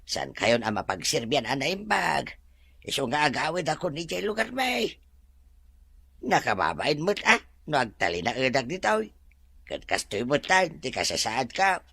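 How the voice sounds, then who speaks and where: A man playing a bashful, grateful elderly manservant in a Filipino audio drama, with a Filipino accent, gravelly husky voice and halting, deferential delivery. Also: mid-range voice, very animated, bright, forceful tone, no room sound, full character voice. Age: older.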